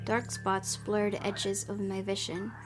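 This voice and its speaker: A woman's voice: medium pitch, a little slow, over music. A woman hosting a gaming video, reading a visual novel aloud dramatically and reacting with open emotion. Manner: expressive, exclaiming at the game.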